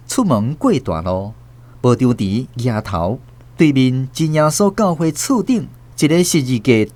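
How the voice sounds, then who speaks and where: Animated Taiwanese male storyteller. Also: medium pitch. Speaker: narrator. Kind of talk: dramatic scripted story narration.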